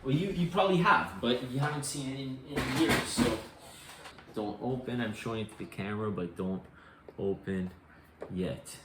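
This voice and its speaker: A man's voice: mid-range voice, moderate pace. Thirties man from Canada, playful show-and-tell co-host, echoey room, light hiss. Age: 30s. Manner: playful, teasing, relaxed. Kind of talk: casual show-and-tell chat. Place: family home or garage. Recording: echoey room with faint noise.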